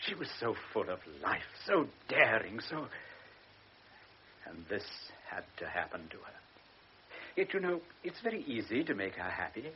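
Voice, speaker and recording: A gentle anxious old father. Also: fairly low pitch, sing-song, normal speed, a little hiss or hum. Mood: earnest. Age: older. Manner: apologetic, earnest, sometimes hushed. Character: elderly gentle anxious father, wealthy host. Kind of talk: radio drama acting. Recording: dull old radio recording.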